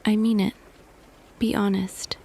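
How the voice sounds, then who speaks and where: A woman playing a tender, quietly smitten office boss in an intimate ASMR roleplay, speaking softly and deliberately, often half whispering. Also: medium pitch, level tone, creaky. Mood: hushed, intimate. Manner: slow, hushed, deliberate, pausing. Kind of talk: ASMR roleplay audio, intimate scripted monologue.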